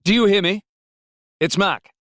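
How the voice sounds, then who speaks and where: A male narrator plays a stubborn scientist in command in an audiobook. He speaks insistently in a somewhat raised pitch, close and dry. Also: moderate pace, a bit bright, no room sound, close to an everyday voice.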